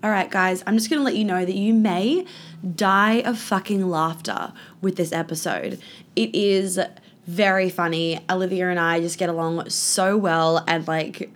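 A woman with a husky, hoarse voice from laryngitis, hosting a podcast in a casual, candid chat and swearing freely. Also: lowish voice, normal speed. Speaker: podcast host and life coach. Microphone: video call.

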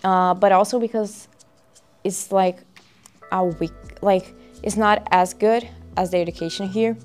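A woman's voice: mid-range voice, fairly even intonation, a little mellow. Young girl chatting, lots of restarts, faint music. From Brazil. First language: Portuguese. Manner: halting, restarts, fillers. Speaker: guest student. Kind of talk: casual interview answers.

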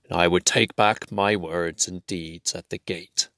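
A dying dwarf king speaks in a low, hushed, very slow voice, as a man reads him in a storybook. The sound is dry and close. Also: steady tone, no room sound, heavily acted. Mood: grave. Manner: slow, grave. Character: dying male dwarf king, proud and humbled.

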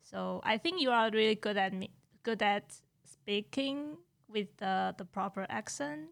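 Young woman w/ taiwanese accent, gravelly voice, hesitant and self-deprecating. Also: medium pitch, a little slow. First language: Mandarin. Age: young adult. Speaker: podcast guest.

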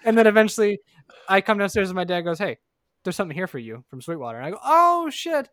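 A man with a somewhat high voice chats on a podcast with a dry, close mic sound. He is an animated storyteller who slips into quick impressions of other people. The talk is loose and casual. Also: no echo. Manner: animated storyteller, mimics others with jumps in pitch. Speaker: podcast co-host. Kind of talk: casual chat.

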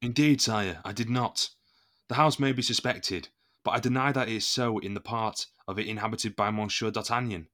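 Forceful, proud musketeer captain, played by a man, low-voiced. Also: moderate pace, lightly acted. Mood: formal, assured. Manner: formal, emphatic, indignant courtly speech. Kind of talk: period audio drama acting.

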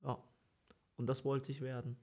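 A relaxed man in his thirties chats on a podcast about his early working life. He talks loosely, with plenty of filler words, and laughs easily. His voice sits at an ordinary middle pitch. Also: normal speed, husky. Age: 30s. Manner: easygoing, laughs often, many fillers. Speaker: party organizer. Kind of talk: casual podcast chat.

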